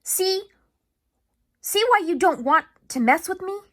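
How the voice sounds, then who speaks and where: A woman's voice: extremely high pitch, lively intonation, takes it slowly, a bit bright, pitched above the performer's own voice, full character voice. A woman reading a storybook aloud, voicing a short-tempered, threatening man who taunts and gives orders. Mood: menacing. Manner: taunting, commanding.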